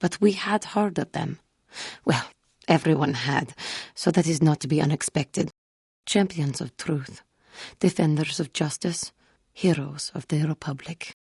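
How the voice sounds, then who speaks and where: An actress voicing a solemn, wounded young feline alien Jedi woman in a video game, speaking in a measured, formal, guarded way. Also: deep voice, gravelly, a little mellow, husky, no room sound, clean studio sound, full character voice. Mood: wistful, guarded. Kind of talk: video game character dialogue.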